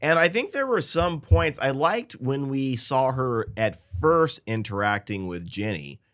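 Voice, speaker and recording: A man's voice: mid-range voice, sing-song, a little slow, fairly bright tone. A man co-hosting a casual podcast, talking through a film and backing up his partner with constant agreement. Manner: agreeable, backs up his co-host with constant yeahs. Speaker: podcast co-host. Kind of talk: casual film review chat.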